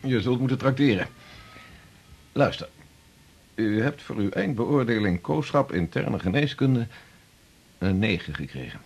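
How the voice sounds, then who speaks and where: An actor playing a senior male doctor, gruff but fond, brusque and teasing in a radio drama. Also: on the low side, lively intonation, a little slow, pauses often, soft background music, heavily acted.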